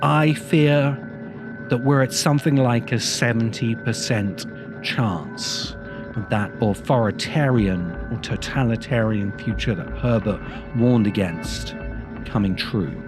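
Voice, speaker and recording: A man hosting a podcast, delivering a reflective monologue in a grave, deliberate way with emphatic phrasing. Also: mid-range voice, over music. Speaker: podcast host. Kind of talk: reflective monologue, video essay.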